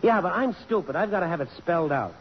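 A man's voice: high voice, expressive, fast, long reverb tail. An actor playing a wry, proud, lovelorn American man with slangy, insistent delivery in a radio drama. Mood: bitter. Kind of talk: 1950s radio drama acting. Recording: muffled, hissy old radio broadcast.

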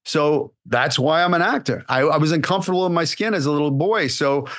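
A man, an acting coach, giving casual solo podcast advice in a blunt, animated way, firing rhetorical questions at the listener. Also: a little high, fairly quick. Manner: casual and blunt, with repeated fillers and restarts.

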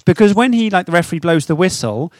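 English bloke, slightly high voice, dry teasing banter, bit sweary, close dry mic, podcast chat. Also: male voice, animated, fast talker, dry recording. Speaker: football podcast co-host. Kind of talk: casual joking chat.

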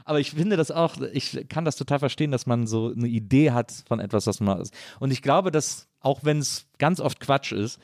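A man's voice: mid-range voice, moderate pace, hardly any pauses, no room sound, studio quality. A man hosting a casual conversational interview, speaking informally and reflectively, feeling his way through sentences. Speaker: podcast host.

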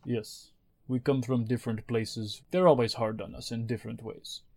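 Casting note: easygoing male game master, mid-pitched voice, laughs easily. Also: fairly even intonation, normal speed, a little mellow. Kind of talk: narrating a tabletop game.

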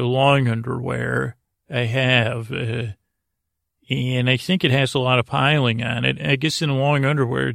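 A man with a very creaky, gravelly voice talks through a meandering bedtime podcast monologue. He stammers, doubles back and leaves thoughts half finished. The sound is dry and close, with no room echo. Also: mid-range voice, fairly bright tone. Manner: meandering, halting, stammering with repeated false starts. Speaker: podcast host.

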